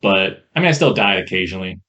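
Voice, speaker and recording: A man co-hosting a podcast, reviewing games in a casual, enthusiastic ramble on a rough-sounding mic. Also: low voice, expressive, quick pace, sharp tone, highs cut off. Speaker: podcast co-host. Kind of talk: casual chat, game review.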